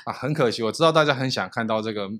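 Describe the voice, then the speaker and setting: A man's voice: mid-range voice, very fast, fairly bright tone. Male podcast host, playful and teasing, an everyday mid-range voice. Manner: teasing, jokey, laughs often. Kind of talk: casual chat.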